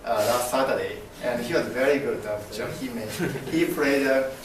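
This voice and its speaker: A man's voice: mid-range voice, distant mic, background noise. Young Japanese-accented man, echoey classroom. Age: young adult. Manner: halting, many fillers and restarts, gestures broadly. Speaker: MBA student presenter. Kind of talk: class presentation. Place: classroom with projector screen. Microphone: far camera.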